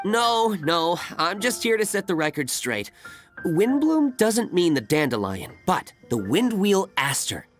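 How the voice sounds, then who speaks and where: A woman's voice: lowish voice, sing-song, a little slow, soft background music. A woman voicing an opinionated, pedantic fruit stall vendor in a video game, emphatic and pompous. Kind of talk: video game character acting.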